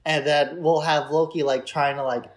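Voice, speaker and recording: A man's voice: a little high, steady tone, moderate pace. A man co-hosting a podcast, talking in a casual, conversational and hedging way about films. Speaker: podcast co-host. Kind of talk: casual chat, film discussion.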